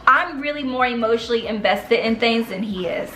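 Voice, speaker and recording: A woman's voice: on the high side, fairly quick, sharp tone. Chatty young woman in an echoey room. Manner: chatty, rambling, uses slang. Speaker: vlogger giving writing tips. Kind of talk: casual talk to camera. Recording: echoey room, faint noise.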